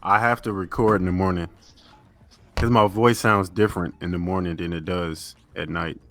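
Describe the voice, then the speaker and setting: A man gives a calm, plain-spoken answer as a guest in an interview. His voice is very deep. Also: normal speed. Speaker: guest YouTube narrator.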